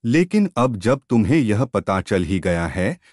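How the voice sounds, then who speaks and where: Earnest, protective storybook village parent, low and slow read by a male narrator. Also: very animated, darkish tone, no room sound, clean studio sound. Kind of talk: storybook character lines in narration.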